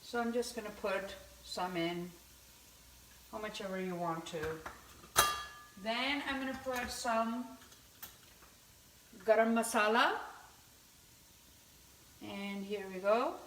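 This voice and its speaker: A woman's voice: mid-range voice, slow, long pauses, fairly bright tone, a little hiss or hum. A woman from India hosting a live home cooking demonstration, chatting through each step as she stirs. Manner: chatty, explaining each step while stirring. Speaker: cooking show host. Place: home kitchen. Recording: echoey kitchen from far off.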